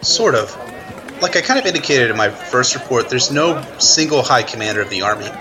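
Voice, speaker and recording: A man acts as an analytical male correspondent in a fantasy army camp. He explains in a matter-of-fact way, with a medium-pitched voice. A clear outdoor crowd bustles behind him, as in a radio play. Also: animated, a little fast, natural voice. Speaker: guest correspondent. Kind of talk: radio news report roleplay.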